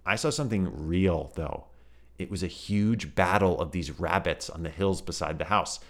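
A man voicing a prickly, skeptical girl with a sharp, dismissive edge. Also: low-pitched, normal speed, natural voice. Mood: excited. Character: skeptical, prickly older sister.

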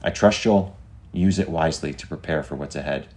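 A man's voice: extremely low pitch, fairly quick, darkish tone. A man playing an earnest, deferential young Secret Service inspector with a formal, polite, urgent manner in a story.

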